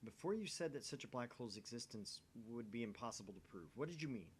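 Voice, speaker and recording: A man's voice: lively intonation, quick pace, close to an everyday voice. A man voicing a teasing, nerdy young male otaku from a visual novel, droll and full of questions. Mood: teasing, curious. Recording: faint noise with low rumble.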